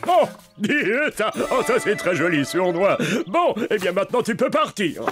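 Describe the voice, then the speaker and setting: An actor voicing a strict, exacting toy authority in a children's cartoon, bossy and emphatic. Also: male voice, high voice, sing-song, normal speed, a bit bright. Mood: bossy approval. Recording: music behind.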